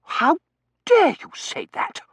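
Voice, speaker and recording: A man's voice: expressive, moderate pace, no room sound. A man voicing a spoiled, petulant young lady in an audiobook, indignant and imperious. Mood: shocked, indignant. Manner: shrill, indignant, imperious, gushing. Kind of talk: audiobook character voice.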